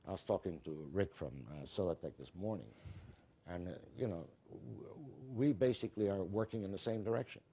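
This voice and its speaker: A man speaking on a conference panel, halting and often repeating words as he restarts. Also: low-pitched, warm tone, husky. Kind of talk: panel discussion remarks. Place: conference hall.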